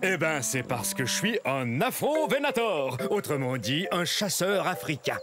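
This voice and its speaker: A sly, teasing hunter dinosaur who leans in close to share his tricks. His voice is high yet lively, gliding up and down as he explains, with clear music under it. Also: unhurried. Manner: teasing, confiding, teacherly. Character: sly male meat-eating hunter dinosaur. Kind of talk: children's educational cartoon.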